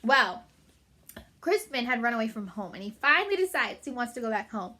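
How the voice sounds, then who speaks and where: A woman reading a story aloud to children, emphatic and animated, with chatty asides. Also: a little high, brisk. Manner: animated, emphatic, addressing young listeners.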